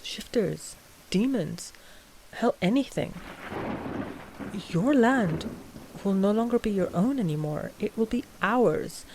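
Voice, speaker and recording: A woman playing a cautious, principled vampire coven master in a scripted ASMR roleplay, measured and a little exasperated. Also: mid-range voice, darkish tone, a little hiss or hum.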